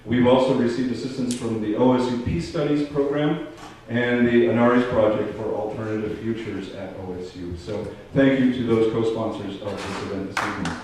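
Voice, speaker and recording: A man hosting a ceremony, giving a welcome speech with a halting, hesitant delivery. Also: mid-range voice, steady tone. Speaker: event host. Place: theater stage. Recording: distant, very echoey theater, faint noise.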